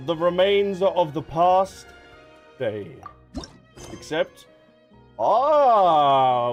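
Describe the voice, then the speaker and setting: High-voiced man streaming a game. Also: darkish tone. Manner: playful, chatty, reacting aloud. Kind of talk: casual live gameplay commentary. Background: game music.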